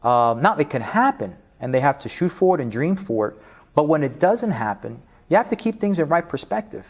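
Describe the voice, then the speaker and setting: A middle-aged man from Spanish Harlem with a New York accent, giving an animated interview, laughing often and restarting his sentences. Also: a little high, brisk. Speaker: interview guest, business leader. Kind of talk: casual interview talk.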